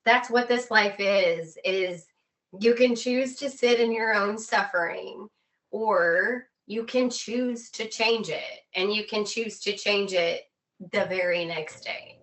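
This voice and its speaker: Woman, bright voice, medium pitch, earnest conversational explaining, emphatic repetition, interview guest. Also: takes it slowly.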